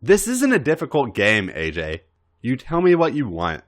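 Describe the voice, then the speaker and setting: A smug, controlling male lover in a read-aloud story, acted by a man. He is wry and articulate, teasing with measured phrases and very expressive swings of pitch.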